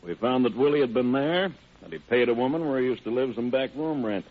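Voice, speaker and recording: An actor playing a steady, persistent sheriff's investigator who questions people methodically in an old radio crime drama. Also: male voice, medium pitch, a little fast, a little hiss or hum, lightly acted. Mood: pressing, confident. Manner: methodical questioning, plain-spoken. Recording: muffled, narrow-band old radio broadcast.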